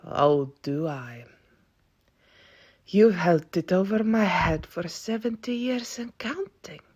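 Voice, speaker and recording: A woman acting as a stern, ancient vampire warrior aunt in an audio roleplay, with a husky, breathy, creaky voice and grave delivery. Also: fairly low pitch, animated, a little mellow, heavily acted. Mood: wary, irritated. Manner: grave, slow, dramatic delivery.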